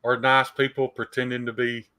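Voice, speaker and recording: Southern US man, bright and forceful, easygoing co-host who argues back. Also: mid-range voice, monotone, moderate pace, smooth. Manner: laid-back, pushes back in debate. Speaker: podcast co-host. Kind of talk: casual chat.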